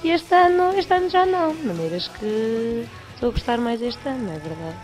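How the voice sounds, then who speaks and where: A woman's voice: fairly high pitch, expressive, a little fast, soft background music. A young adult woman with a Portuguese accent, a student answering casually in a vox pop.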